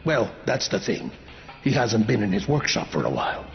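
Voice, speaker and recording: Gravelly, low-voiced male survivor. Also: very animated, normal speed, highs cut off, faint music behind. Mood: helpful, matter-of-fact. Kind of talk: video game cutscene acting.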